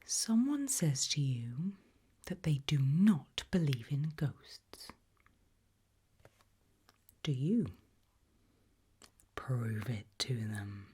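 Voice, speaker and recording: A British woman whispering an ASMR roleplay in a breathy voice, putting slow, deliberate questions with long pauses. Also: very deep voice, lively intonation, mellow, a little hiss or hum.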